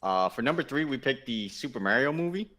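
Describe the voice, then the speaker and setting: A man's voice: mid-range voice, animated, fairly quick, pushed and bright. A man co-hosting a gaming show, talking casually and emphatically with his fellow panelists, often repeating himself for stress.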